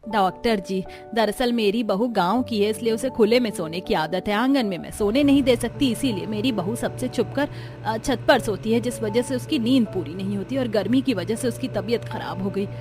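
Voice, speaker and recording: A woman's voice: fairly high pitch, normal speed, hardly any pauses, over music, natural voice. A woman voicing an exasperated, proud middle-aged mother-in-law in a cartoon story, lecturing and scolding. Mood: worried, confiding. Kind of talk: cartoon story character acting.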